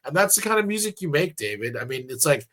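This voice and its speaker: A man's voice: fairly high pitch, very animated, fast, fairly bright tone. A man hosting a radio interview show, talking in a loose, rambling way with lots of fillers and restarts. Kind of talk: casual interview chat.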